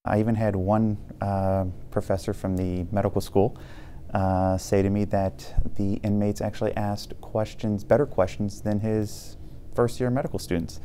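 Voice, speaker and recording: A man answering in an interview, pausing between phrases. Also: on the low side, flat delivery, warm tone, slight background noise.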